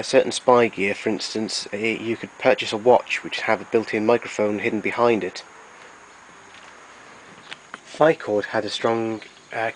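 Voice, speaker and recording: A man with a fairly low voice narrates an informal product demonstration. He explains things plainly and a little haltingly, like a hobbyist collector. The amateur recording has noticeable noise behind him. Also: low-quality recording. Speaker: collector presenting his tape recorders.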